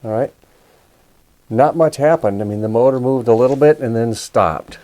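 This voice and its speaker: A man's voice: mid-range voice, moderate pace, mellow, poor audio. A man giving a casual hands-on repair walkthrough, explaining things methodically, step by step. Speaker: repair video host.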